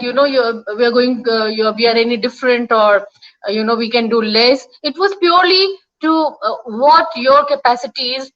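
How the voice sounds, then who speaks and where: A woman in her fifties from India, a guest on a video call, speaking in a measured way with hesitant fillers. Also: fairly high pitch, a bit bright. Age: 50s. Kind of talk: casual video call discussion. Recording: dull lo-fi video call audio.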